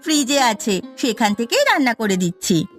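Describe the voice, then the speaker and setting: A woman plays a pushy, doting wife in a cartoon folk tale. Her high voice swings about expressively, insistent and indulgent, over music. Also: sing-song, moderate pace. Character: demanding, doting, spoiling younger wife and mother. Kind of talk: Bengali fairy-tale cartoon dubbing.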